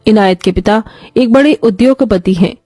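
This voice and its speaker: A woman's voice: mid-range voice, moderate pace, warm tone, faint music behind. A woman narrating a scripted moral story as a dramatic storyteller.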